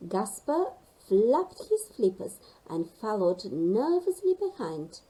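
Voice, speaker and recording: Slow, animated woman reading a children's story. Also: medium pitch, rough recording. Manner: animated, addresses young listeners with questions. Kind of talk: expressive children's story narration.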